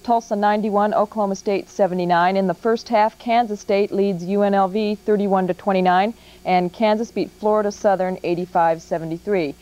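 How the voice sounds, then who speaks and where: A woman's voice: medium pitch, steady tone, brisk, warm tone. A woman with an American accent reading sports scores as a scripted TV news anchor. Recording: muffled old TV broadcast tape.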